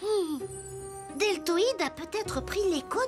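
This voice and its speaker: A woman's voice: extremely high pitch, expressive, takes it slowly, exaggerated voice. A woman voicing an eager young toy boy detective in a children's cartoon, curious and childlike in manner. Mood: inquisitive. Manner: curious, bright-eyed, childlike. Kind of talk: animated children's cartoon acting. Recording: music behind the voice.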